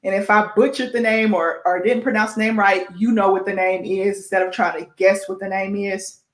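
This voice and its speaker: Female sports host, Midwest American accent, mid-pitched, informal and self-deprecating, careful halting delivery on names, roomy echo. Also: steady tone. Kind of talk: casual sports show presenting, reading award lists.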